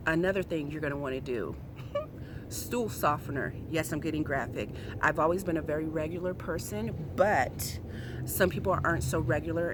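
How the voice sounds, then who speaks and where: A woman's voice: on the low side, heavy background noise. A woman in her forties talking frankly and chattily to her viewers in a casual personal vlog. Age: 40s. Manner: frank, chatty, emphatic, talks straight to viewers. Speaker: vlogger.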